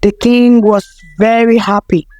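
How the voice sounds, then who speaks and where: Slow, high-pitched woman storyteller. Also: level tone, mellow, clean tone, no echo, dull audio, soft background music. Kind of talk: scripted folktale narration.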